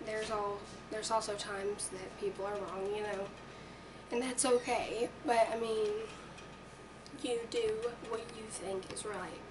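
A teenage girl with a raspy voice talks to her webcam, earnest and full of ums. Her delivery stays flat and even. She sits back from the mic, and steady noise hums underneath. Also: mid-range voice. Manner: earnest, chatty, many ums. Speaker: vlogger. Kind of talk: casual chat to camera. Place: small bedroom indoors. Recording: distant webcam audio with noise.

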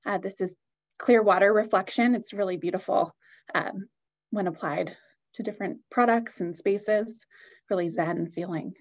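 A woman's voice: mid-range voice, normal speed, highs cut off. A woman giving an upbeat, enthusiastic product presentation on a video call.

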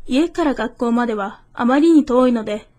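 A woman's voice: a little high, moderate pace, dark tone. A woman reader giving a scripted reading of literary prose.

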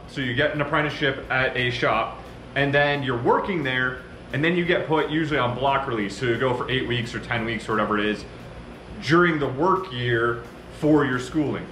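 A man in his thirties talks conversationally to camera in a large machine shop. His voice sits at an everyday middle pitch, and the room gives it a roomy echo over a faint hum of shop noise. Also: bright, forceful tone. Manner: conversational, gestures a lot while explaining. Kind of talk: casual talk to camera. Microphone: camera at a few metres.

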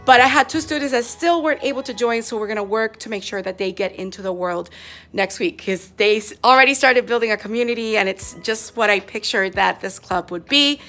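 A woman's voice: a little high, fairly quick, soft background music. Chatty woman teacher vlogging to her phone. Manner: chatty, animated, gestures while talking. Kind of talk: casual classroom vlog recap. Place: empty classroom, indoors. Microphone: phone at arm's length.

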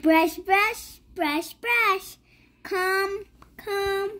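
A child reading a rhyming picture book aloud in a halting way, sounding out and repeating words. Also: very high-pitched, bright, forceful tone, slight background noise. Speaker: young reader.

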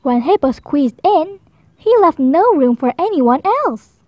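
A woman reads a children's picture book aloud in a high, bouncy voice, taking her time over every line. The sound is dry and close, with no room behind her. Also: dry recording, lo-fi sound. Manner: slow, expressive, high voices for animal lines. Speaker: storybook reader. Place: indoors at a table, book held to camera.

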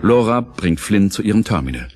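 A man's voice: lowish voice, big pitch swings, fairly quick, darkish tone. A man narrating a scripted audio drama as its storyteller. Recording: clear music under narration.

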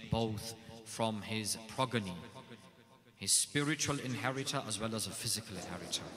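A man's voice: on the low side, normal speed, a crowd in the background. A man speaking at a religious gathering, delivering an emphatic devotional address that stacks short, repeated phrases before introducing the scholars. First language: not Urdu. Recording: long hall reverb.

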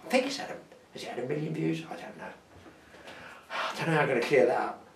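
An older man with a fairly high voice chats jokily to camera, animated and full of chuckling asides. He sits back from the mic in a roomy space, with a faint hiss behind him. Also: brisk, distant mic. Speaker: fishing channel host. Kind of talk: casual chatty vlog. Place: garden cabin indoors, partly outdoors in the garden.

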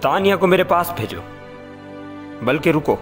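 A man's voice: on the high side, big pitch swings, normal speed, faint music behind. An actor playing a curt, demanding young male boss in an audio drama. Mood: stern. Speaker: office boss. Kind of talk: Urdu audio drama acting.

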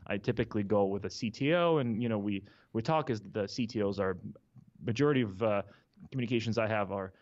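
A man's voice: fairly low pitch, a little mellow, lo-fi sound. A man from Russia with a Russian accent, a guest talking casually in an office, full of fillers and restarts. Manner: halting, many fillers and restarts. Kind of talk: casual interview talk. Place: office conference room with windows. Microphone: studio mic on a boom, headphones.

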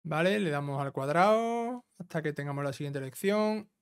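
A man's voice: fairly high pitch, lively intonation, normal speed, smooth. A man giving a casual step-by-step gameplay walkthrough, instructing and checking in with the listener as he goes. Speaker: video game guide host. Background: game music.

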